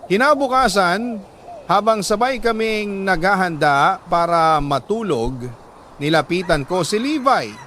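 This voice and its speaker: Higher-pitched Filipino man narrating dramatically. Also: lively intonation, a little slow, sharp tone, a little hiss or hum. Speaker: storyteller reading a listener's letter. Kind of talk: dramatic scripted story narration.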